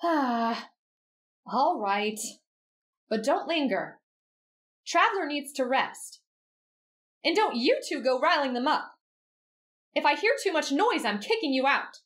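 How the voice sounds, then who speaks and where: A woman's voice: high voice, big pitch swings, slow, long pauses, a bit bright, exaggerated voice. A woman voicing a stern, protective young female caretaker in an anime roleplay, scolding and bossy. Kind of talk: anime fan roleplay audio drama.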